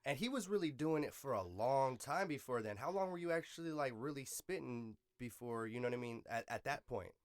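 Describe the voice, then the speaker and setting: A man's voice: mid-range voice, animated, brisk, few pauses, no echo. A man hosting a podcast in casual, slangy urban speech, enthusiastic and affirming, repeating phrases for emphasis. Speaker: podcast host. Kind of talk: casual interview chat.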